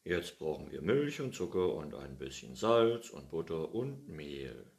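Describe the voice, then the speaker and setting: A man's voice: very deep voice, big pitch swings, unhurried, gravelly, heavily acted. A man voicing an absent-minded, tidy old farmer who grumbles and scolds his cat in a storybook reading. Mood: grumbling. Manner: loud, grumbling, muttering hm.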